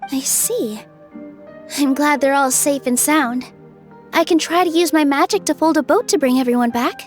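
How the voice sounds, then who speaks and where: A woman voicing a caring young magical queen in a video game, gentle and concerned. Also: high voice, normal speed, soft background music, natural voice. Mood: relieved, earnest. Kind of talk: animated video game character acting.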